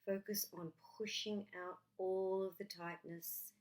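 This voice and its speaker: Slow, soothing woman guiding meditation. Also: mid-range voice, steady tone, rough recording. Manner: soothing, gentle, unhurried with long pauses. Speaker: meditation guide. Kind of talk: guided relaxation meditation.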